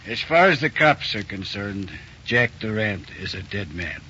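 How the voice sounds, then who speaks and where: Casting: sardonic gangster on the run, lowish bright voice, curt slang, old dull radio recording. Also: male voice, very animated, measured pace, slight background noise, heavily acted. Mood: gloating menace. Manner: slangy, curt tough-guy talk. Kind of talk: 1940s radio crime drama acting.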